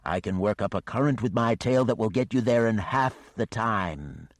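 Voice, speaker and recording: A man's voice: on the low side, normal speed, dry recording, clean studio sound, voice pushed up, lightly acted. A man voicing a huge male dragon that is courteous yet threatening, in a read-aloud story. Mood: coaxing. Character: huge menacing male sea dragon, mock-polite.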